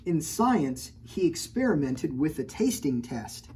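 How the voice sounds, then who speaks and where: Woman librarian, storytime reader, mellow tone, playful teasing asides. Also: deep voice, big pitch swings, a little slow, slight background noise. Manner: playful, teasing, stops often to point out pictures. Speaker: children's librarian. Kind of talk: storytime read-aloud with chatty asides.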